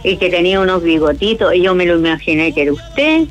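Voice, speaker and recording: A woman's voice: fairly low pitch, quick pace. A woman with a Chilean accent calling into a radio show by phone, chatting cheerfully and with devotion, sending greetings. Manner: cheerful, chatty, devout, giggly. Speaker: radio caller. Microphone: phone line.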